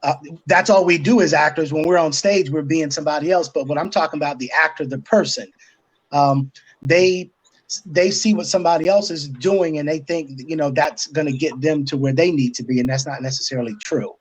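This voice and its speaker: A man with a fairly high-pitched voice talks as a guest on a panel. He offers advice conversationally, feeling his way through his thoughts with halting phrases. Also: slightly rough, low-quality recording. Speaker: guest, stage play writer-director.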